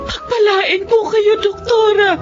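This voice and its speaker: An actress playing a poor elderly Filipino grandmother in a Tagalog radio drama, pleading and tearful in a halting way. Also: extremely high pitch, level tone. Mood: tearful gratitude. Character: desperate, poor elderly grandmother, humble and grateful. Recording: dull lo-fi radio drama, music underneath.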